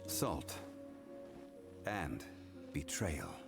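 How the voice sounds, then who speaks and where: A man's voice: a bass voice, huge pitch swings, creaky, loud music behind the voice, heavily acted. An actor playing a grave, composed man recounting ancient wars in solemn, formal video game dialogue. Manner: formal, solemn, measured delivery.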